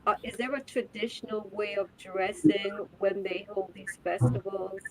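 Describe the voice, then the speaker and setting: A woman hosts a podcast interview, sounding agreeable and encouraging as she readily affirms her guest. Her pitch sits in an ordinary middle range, and the chatter of a crowd carries clearly behind her. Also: fairly even intonation, normal speed, poor audio. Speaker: podcast host. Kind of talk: casual conversational interview.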